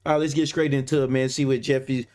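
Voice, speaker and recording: A man in his thirties hosting a reaction video from his gaming room, somewhat hoarse from losing his voice, laid-back, tagging "man" onto his lines. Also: mid-range voice, flat delivery, fast talker, darkish tone, slight background noise. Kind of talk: casual reaction commentary. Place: home gaming room indoors. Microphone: webcam framing, headphones on.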